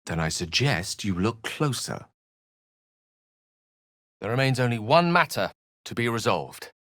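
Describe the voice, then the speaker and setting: A man's voice: mid-range voice, very animated, unhurried, a bit bright, no echo. An actor playing an eloquent, scholarly male wizard with dry wit in a fantasy video game, speaking in articulate, theatrical phrases. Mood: measured, thoughtful.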